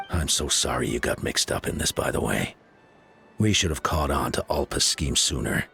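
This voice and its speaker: An actor playing a reasonable coach and community leader in a video game, explaining things in a measured way. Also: male voice, on the low side, a bit bright. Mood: regretful, explaining.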